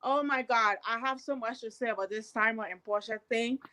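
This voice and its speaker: A woman from Liberia calls in over a video call with a high, bright voice. She pushes her opinions emphatically and often laughs between points. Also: steady tone, fairly quick. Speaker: caller. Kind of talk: casual opinionated chat.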